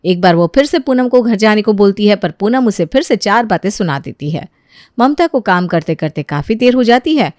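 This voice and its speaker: A woman narrating a scripted moral story as an expressive storyteller. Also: mid-range voice, moderate pace, dry recording, clean studio sound.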